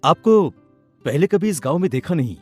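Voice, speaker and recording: A man playing an earnest, emphatic young villager in an animated story. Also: a little high, moderate pace. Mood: polite, friendly. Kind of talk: animated Hindi moral story acting. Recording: music behind the voice.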